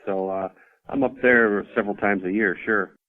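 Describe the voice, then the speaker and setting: Guy guesting on a podcast, lots of ums and uhs, lowish voice, muffled. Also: steady tone, moderate pace. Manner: hesitant, filler-heavy. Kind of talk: casual chat. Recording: dull lo-fi sound.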